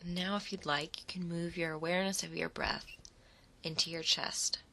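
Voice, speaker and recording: Low-pitched, creaky-voiced woman guiding meditation. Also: fairly even intonation, sharp tone, slight background noise. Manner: soothing, unhurried, with short phrases and pauses. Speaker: guided relaxation narrator. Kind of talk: guided imagery meditation.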